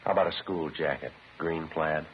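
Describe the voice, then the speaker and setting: A man playing a terse, methodical police detective in a 1950s radio crime drama, clipped and deadpan. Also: rumbling low, moderate pace. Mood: deadpan, probing. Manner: clipped, deadpan, matter-of-fact. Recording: muffled, noisy old 1950s radio recording.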